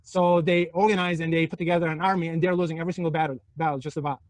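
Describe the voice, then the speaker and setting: A man's voice: on the high side, little pitch movement, racing, no room sound, low-quality recording. A middle-aged man from Turkey lecturing a physics class, joking and throwing rhetorical questions at his students.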